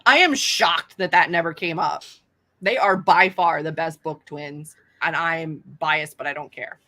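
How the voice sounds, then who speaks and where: A woman's voice: sits low, unhurried, sharp tone. A young adult woman, an author hosting a casual live stream, chatting in a self-deprecating way with lots of fillers.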